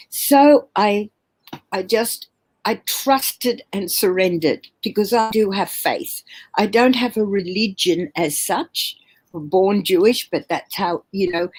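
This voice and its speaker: A woman in her seventies telling her personal story as an interview guest on a video call from home, earnest and halting with many fillers and restarts. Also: medium pitch, darkish tone, husky. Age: 70s. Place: home room.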